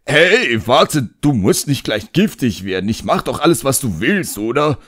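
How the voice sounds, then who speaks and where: A man's voice: mid-range voice, very animated, a little slow, a bit bright, no room sound, studio quality, voice pushed up. A man voicing a cheeky, put-upon old imp servant who grumbles and stalls in slang in an audiobook. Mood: placating.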